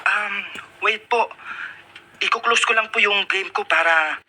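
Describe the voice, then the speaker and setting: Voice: medium pitch, measured pace, sharp tone. An actor in a radio drama playing a polite, eager teenage son helping his mother. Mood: helpful. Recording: noisy radio broadcast.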